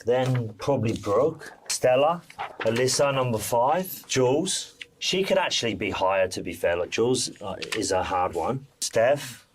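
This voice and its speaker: A man's voice: mid-range voice, lively intonation, measured pace, background noise. A man speaking casually as a reality TV interview guest, candid and colloquial, retelling conversations as he goes. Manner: candid, colloquial storytelling with quoted dialogue.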